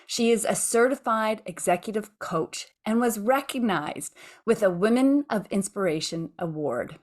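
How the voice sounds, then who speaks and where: A woman's voice: medium pitch, expressive, measured pace. A woman hosting an interview podcast, talking enthusiastically and effusively, often restarting her phrases. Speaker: podcast host.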